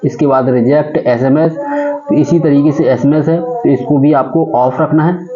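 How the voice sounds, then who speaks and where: A man's voice: on the high side, steady tone, moderate pace. Man on tinny phone-like audio. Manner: explanatory, repeats instructions. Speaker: tech tutorial presenter. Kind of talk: step-by-step phone settings tutorial. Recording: muffled phone-like audio, background chatter.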